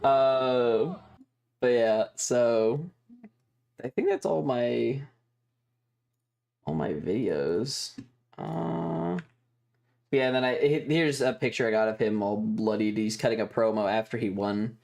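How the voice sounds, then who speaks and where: A man streamer talking casually and rambling through his material, halting often with stretched pauses. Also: mid-range voice, gravelly, darkish tone. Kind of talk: casual livestream chat over trip photos and videos.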